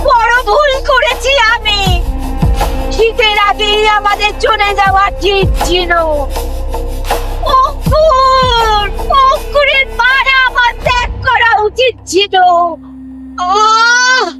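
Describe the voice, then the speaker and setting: Prehistoric clan leader: very high voice, measured delivery, fierce and theatrical, music underneath. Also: female voice. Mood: grieving. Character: grieving, fierce female leader of a prehistoric tribe. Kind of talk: Bengali audio drama acting.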